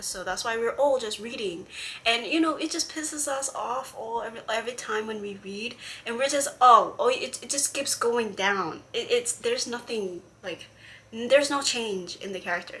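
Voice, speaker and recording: A woman's voice: on the high side, a bit bright, a little hiss or hum. A woman vlogger from Hawaii chatting casually about a comic she is reading, exasperated and rambling, with many fillers and restarts.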